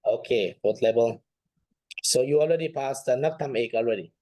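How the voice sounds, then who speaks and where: A man's voice: mid-range voice, normal speed, warm tone, no echo. A man teaching an informal online class as a Buddhist monk, speaking hesitantly and often repeating phrases. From Nepal.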